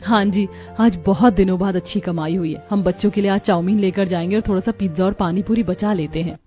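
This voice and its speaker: A woman's voice: medium pitch, fairly even intonation, brisk, dark tone, natural voice. A woman playing an earnest, cheerful young wife who works as a street vendor in a cartoon story. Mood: content, caring. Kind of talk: animated moral story dialogue. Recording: music behind the voice.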